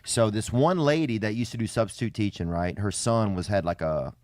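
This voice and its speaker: A man telling comic stories casually in a rambling, colloquial way with folksy deadpan phrasing. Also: on the low side, brisk, slight background noise. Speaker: podcast storyteller. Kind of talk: casual comedic storytelling.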